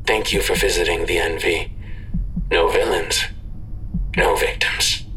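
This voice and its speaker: A sinister website announcer in an audio drama. The low voice is slow and formal, with a hard, forceful edge and a rumbling noise behind it. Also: male voice, steady tone. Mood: cold, formal. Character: sinister recorded announcer voice of a vigilante website, male.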